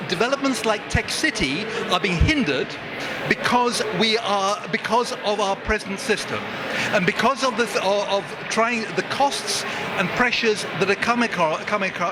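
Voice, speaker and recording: A man's voice: high voice, normal speed. A man, a barrister giving an earnest, emphatic prepared statement outdoors. He stumbles and restarts whenever he goes off script. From Britain. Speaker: barrister and tech patent holder. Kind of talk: prepared political statement with retakes. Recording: noisy outdoor street recording with traffic. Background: loud traffic and street noise.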